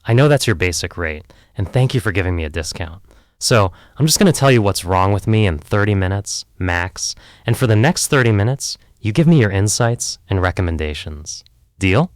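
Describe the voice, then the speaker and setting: A man acts out a self-doubting filmmaker on the therapy couch in an audio play. His voice sits low but rises and falls in lively sweeps. He is candid and wry, rambling and hedging as he goes, in a dry, close recording. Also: normal speed, no echo, close to an everyday voice. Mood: frank, businesslike. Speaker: therapy client. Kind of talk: conversational audio play acting.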